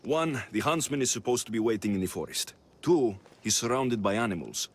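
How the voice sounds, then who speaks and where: An actor playing a defiant, sardonic mercenary hero in a video game drama. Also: male voice, mid-range voice, animated, fairly quick, lightly acted. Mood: matter-of-fact.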